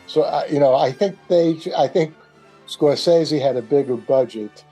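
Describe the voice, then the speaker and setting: A man's voice: a little high, measured pace, mellow, slightly rough, faint music behind, poor audio. A man chatting casually in a roundtable fan discussion.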